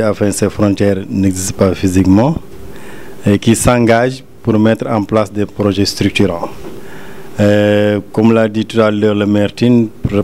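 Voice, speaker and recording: A man's voice: fairly low pitch, mellow, a little hiss or hum. A man with a Senegalese French accent giving a formal, explanatory statement as an agency director in a meeting room. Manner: explanatory, official phrasing.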